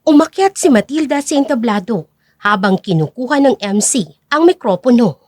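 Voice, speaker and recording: A woman's voice: fairly high pitch, animated, moderate pace, darkish tone, no echo. A woman narrating a scripted dramatic story.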